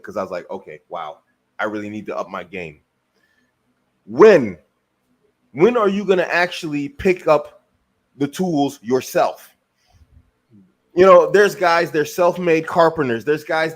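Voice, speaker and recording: A man from the United States, a guest on a podcast, talking emphatically and throwing in rhetorical questions. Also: on the high side. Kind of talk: motivational podcast talk. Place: lives in Germany.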